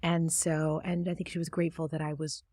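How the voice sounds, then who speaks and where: A woman guest in a conversational interview, talking about her book in a reflective, soft-spoken storytelling manner. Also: sits low, level tone, fairly quick, slightly rough, dry recording. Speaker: author and guest. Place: studio.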